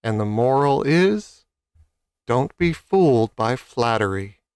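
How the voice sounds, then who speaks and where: A man's voice: medium pitch, expressive, slow, darkish tone, clear, resonant tone, no room sound, clean studio sound. A man reading scripted children's stories as a storyteller.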